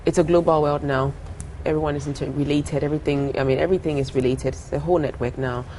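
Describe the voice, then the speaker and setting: A woman's voice: sits low, steady tone, fast talker, mellow, slight background noise. A young woman from Ghana, a singer being interviewed, talking earnestly, often repeating her words and laughing easily. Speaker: singer guest. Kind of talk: casual interview talk.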